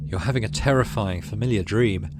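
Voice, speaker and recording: A man's voice: on the low side, fairly quick, noisy background. A man hosting a gaming playthrough, reading story text aloud and adding casual commentary. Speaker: gaming channel host.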